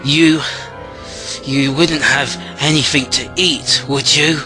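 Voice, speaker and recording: An actor playing a ravenous guard turning into a monster in an audio drama, with a gravelly voice. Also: male voice, fairly high pitch, steady tone, slow pace. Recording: music clearly under the voice.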